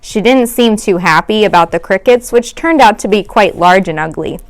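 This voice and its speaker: A woman reads a children's book aloud like a teacher at storytime. Her voice sits at a middle pitch, and her delivery is expressive. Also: moderate pace. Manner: expressive, acts out dialogue.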